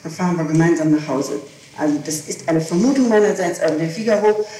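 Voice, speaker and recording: A woman's voice: lowish voice, fast talker, dark tone. A woman answering questions in a recorded police interrogation, explaining herself insistently. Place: echoey room, far from the microphone. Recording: echoey distant archival tape with hiss.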